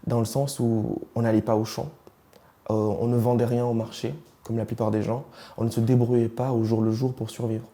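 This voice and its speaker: Gravelly young man, halting speech. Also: medium pitch, flat delivery, warm tone. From Rwanda. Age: 20s. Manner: hesitant, searching for words. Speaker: interviewee. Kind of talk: personal interview, switching languages.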